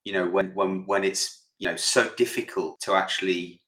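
A man co-hosting a podcast in a relaxed, chatty way, with frequent "uh"s. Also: deep voice, moderate pace, roomy sound, poor audio. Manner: relaxed, lots of fillers. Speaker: podcast co-host. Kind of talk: casual podcast chat.

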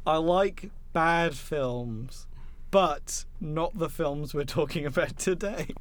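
A man's voice: fairly high pitch, takes it slowly. A man co-hosting a film podcast in casual banter, with a jokey, sweary way of talking. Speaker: podcast co-host.

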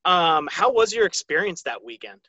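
A man's voice: sits high, very animated, normal speed, bright, forceful tone, no room sound. An American man speaking as the host of a sports podcast, interviewing a guest.

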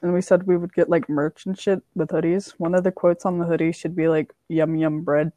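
A woman's voice: on the low side, little pitch movement, brisk, warm tone, no echo. A woman co-hosting a casual podcast over voice chat, easygoing and self-mocking. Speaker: podcast co-host.

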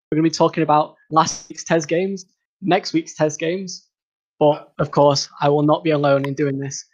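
A man's voice: on the high side, highs cut off. A man hosting an esports talk show, casually steering a panel chat.

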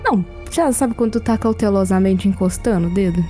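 Hesitant Brazilian woman gamer, warm mellow tone, light music underneath. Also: medium pitch, fairly quick. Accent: Brazilian Portuguese. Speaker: player. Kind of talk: casual chat, playing a tabletop game.

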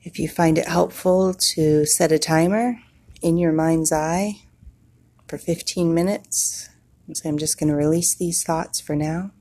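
A woman leading a guided meditation in a soothing manner, with long pauses and a husky, breathy voice. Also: deep voice, steady tone, dark tone.

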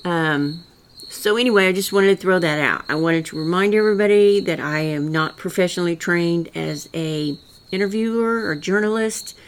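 Low-voiced older woman, rural Missouri accent. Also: normal speed. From rural Southwest Missouri. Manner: folksy, rambling and earnest. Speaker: podcast host. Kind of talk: solo political commentary.

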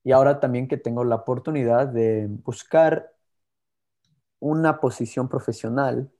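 A young man co-hosting a podcast, from Ecuador by birth and raised in California. His voice is mellow and relaxed. He talks in an earnest, steady way, sometimes restarting a word. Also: mid-range voice, normal speed. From born in Ecuador, raised in California. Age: 20s. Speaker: podcast co-host. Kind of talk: scripted podcast intro and casual chat.

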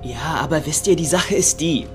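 Actor as defensive young gambler, higher-pitched. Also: male voice, moderate pace, close to an everyday voice. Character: defensive, sullen young male runaway gambler. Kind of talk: German dubbed series dialogue. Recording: music behind the voice.

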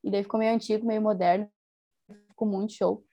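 A young woman chatting in a relaxed interview. She talks with enthusiasm, looping back with little fillers as she goes. Her voice sits at an ordinary middle pitch. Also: a little fast, lo-fi sound. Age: young adult. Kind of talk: casual conversation.

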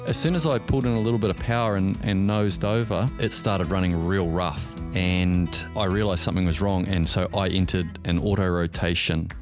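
A man, a helicopter pilot telling stories as a podcast guest in a rambling, halting way full of ums and uhs. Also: deep voice, steady tone, over music. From New Zealand. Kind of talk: casual interview storytelling.

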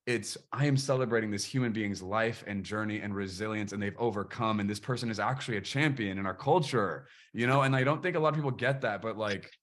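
A man's voice: lowish voice, quick pace, hardly any pauses, fairly bright tone. A man hosting a podcast interview, speaking earnestly and with passion, using preacher-like phrasing. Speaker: podcast host. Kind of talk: casual interview chat.

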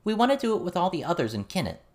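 A woman's voice: lowish voice, sing-song, racing, darkish tone. A woman voicing a curious, outspoken teenage girl in an earnest, informal, questioning way. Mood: direct.

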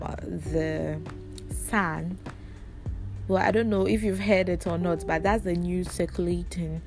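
A woman, hosting a casual solo podcast in a chatty, confiding way, her voice raspy from a cold. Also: fairly low pitch. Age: 20s. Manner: chatty, confiding, laughs often. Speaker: podcast host.

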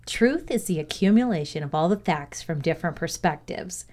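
A woman reading scripted educational narration in a measured, deliberate way. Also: on the low side, lively intonation, darkish tone. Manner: measured, deliberate read-aloud delivery. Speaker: reader of a values lesson.

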